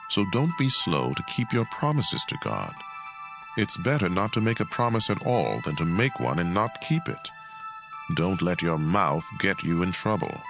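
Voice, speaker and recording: A man narrating scripture as a dramatized audiobook, in a measured, expressive and emphatic delivery. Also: deep voice, creaky.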